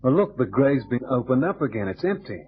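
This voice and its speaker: An actor playing a tough, quick-witted private detective who talks in clipped slang, in old-time radio drama style. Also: male voice, mid-range voice, big pitch swings, breathy edge. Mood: hushed, urgent. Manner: clipped tough-guy slang, calls partner baby. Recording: dull, noisy vintage radio recording with hum.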